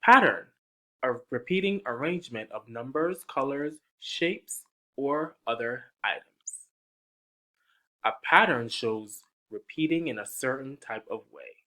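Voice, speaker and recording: A man's voice: medium pitch, animated, sharp tone, poor audio. A man reading a picture book aloud to young children, coaxing them with questions and counting along. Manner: slow, sing-song, coaxing questions to young listeners.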